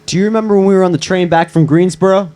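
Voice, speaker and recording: A man reading the part of a calm, teasing young man and close friend at a live screenplay table read. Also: high voice, rapid-fire, lightly acted. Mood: tender.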